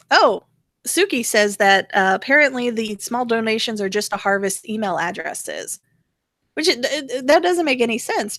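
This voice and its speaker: A woman's voice: mid-range voice, a bit bright, no echo. A woman co-hosting a rant show, chatting casually and frankly with opinions and the odd swear word. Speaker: co-host of a political rant show.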